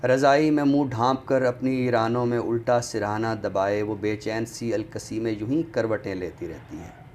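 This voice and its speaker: A man reciting poetry on a literary show in a deliberate, expressive way, returning to refrains. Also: on the low side. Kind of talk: dramatic Urdu poetry recitation, then book talk. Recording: clearly noisy background ambience.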